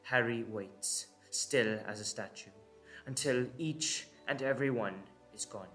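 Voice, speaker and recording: Man narrating dramatically, slow and suspenseful. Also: medium pitch, fairly bright tone, faint music behind. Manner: suspenseful, short measured phrases. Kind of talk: dramatic scripted story narration.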